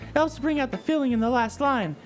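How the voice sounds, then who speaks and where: A woman's voice: high voice, expressive, fast talker, a little hiss or hum. A woman voicing a bratty, smug, cutesy young schoolgirl in a visual novel, blunt and defensive. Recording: game music under the voice.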